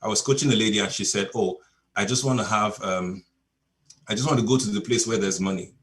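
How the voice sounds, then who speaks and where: A lower-voiced man from Nigeria, a native Yoruba speaker, gives a relaxed coaching talk over a video call. He tells stories from his clients and chuckles between points. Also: a little fast.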